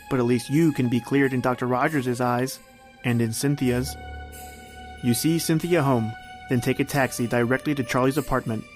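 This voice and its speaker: A man's voice: mid-range voice, natural voice. Man as ominous, insinuating suspense narrator. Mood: knowing, taunting. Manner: insinuating, knowing. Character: ominous, taunting male narrator addressing the hero. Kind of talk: scripted suspense radio narration.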